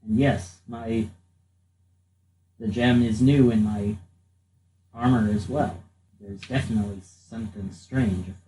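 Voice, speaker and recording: A man speaking in character as a tabletop roleplaying player, in a deliberate, slightly formal way. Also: on the low side, fairly even intonation, dark tone. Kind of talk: formal in-character game dialogue. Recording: distant mic, faint room noise.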